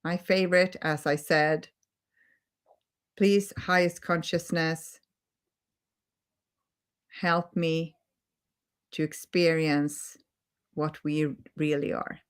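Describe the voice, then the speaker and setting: A woman with a Swedish accent, a native Swedish speaker, giving a gentle, earnest live-stream talk as a holistic healing coach, repeating words for emphasis. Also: deep voice, steady tone, slow pace, pauses often, clear, resonant tone. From Sweden.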